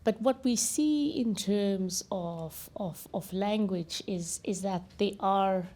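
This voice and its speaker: A woman from South Africa speaking as a deputy central bank governor on a panel, steady and explanatory. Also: mid-range voice, slow. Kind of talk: panel discussion.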